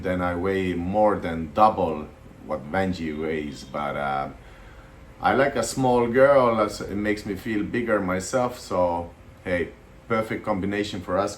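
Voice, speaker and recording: A man in his thirties, from Estonia, chatting casually to camera with a relaxed, hesitant delivery and frequent fillers. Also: low-pitched, unhurried, a little hiss or hum. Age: 30s. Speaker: vlogger.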